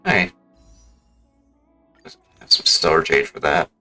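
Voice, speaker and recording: A man's voice: a bass voice, very animated, slow pace, bright, forceful tone, faint music behind. A man commentating casually on a game livestream, swearing freely in blunt, slangy reactions. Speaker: game streamer.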